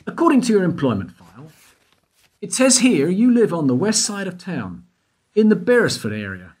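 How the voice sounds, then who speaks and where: A man's voice: sits high, sing-song, moderate pace, a little mellow, slightly rough, heavily acted. An actor playing a persistent male investigator who needles a witness with questions in a radio play. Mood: probing. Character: probing male detective questioning a guard. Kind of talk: amateur radio play acting.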